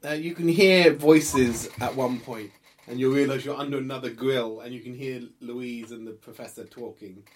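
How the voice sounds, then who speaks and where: A man narrating a tabletop horror game as game master, improvising in a conversational, halting way and thinking aloud. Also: mid-range voice, steady tone.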